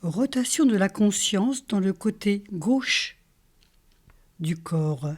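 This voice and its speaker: Slow, mellow-voiced woman guiding meditation. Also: medium pitch. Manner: calm, soothing, short phrases with pauses. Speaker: yoga nidra meditation teacher. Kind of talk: guided relaxation meditation, instructional.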